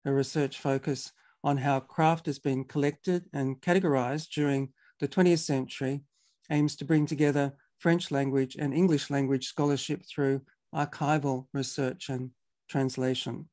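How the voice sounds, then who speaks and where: A man hosting a video-call talk, introducing speakers in a measured, formal way with some fillers. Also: medium pitch, fairly even intonation, a little mellow. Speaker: webinar host. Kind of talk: moderating an online talk.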